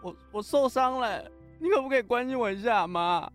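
A woman's voice: fairly high pitch, expressive, a little fast, faint music behind, close to an everyday voice. Woman acting pleading, neglected child. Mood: hurt, desperate. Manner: pleading, emotional. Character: hurt, neglected child pleading for mother's affection. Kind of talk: amateur radio drama acting.

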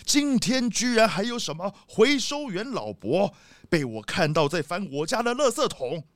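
A man's voice: high voice, very animated, moderate pace, no room sound, clean studio sound. A man playing a rich, boastful, haughty leopard boss in a children's audio drama. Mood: puzzled, grumbling.

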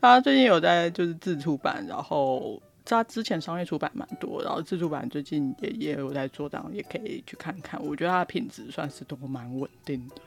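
A woman's voice: low-pitched, lively intonation, a little fast, vocal fry, hardly any pauses, soft background music. A teasing woman with a Taiwanese Mandarin accent, co-hosting a casual podcast chat. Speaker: podcast host.

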